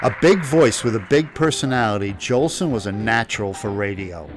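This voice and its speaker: A man's voice: on the low side, expressive, normal speed. A man giving scripted review commentary as the host of a program on old-time radio. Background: music.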